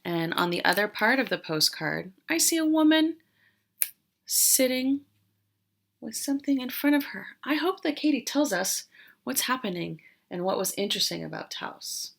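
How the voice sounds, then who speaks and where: A woman teacher doing an interactive read-aloud for young children, explaining as she goes and pausing after questions. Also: a little high, sing-song, gravelly, fairly bright tone. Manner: questioning, explanatory, with pauses for answers.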